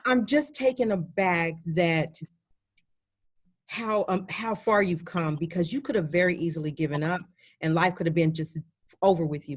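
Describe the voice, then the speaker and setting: A woman hosting an interview in an effusive, chatty way with many pauses and fillers. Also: fairly low pitch, dry recording, studio quality. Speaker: host. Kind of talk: warm upbeat interview chat.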